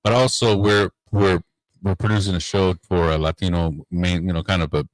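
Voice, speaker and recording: A man with a low, bass-heavy voice and an easygoing manner, rambling through his stories as a comedian guest on the radio. The sound is dry and close, with no room around it. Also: fairly even intonation, moderate pace, no echo, poor audio. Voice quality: deep bass voice. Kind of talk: casual radio interview. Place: radio studio.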